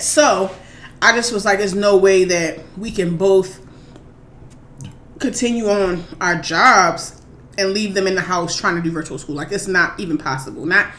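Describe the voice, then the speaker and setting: Chatty woman in her thirties, echoey room. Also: medium pitch. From Maryland, USA. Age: 30s. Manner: rambling, chatty, relaxed, with many fillers. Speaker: mukbang vlogger. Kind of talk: casual chat answering viewer questions. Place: home. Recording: echoey room, faint background noise.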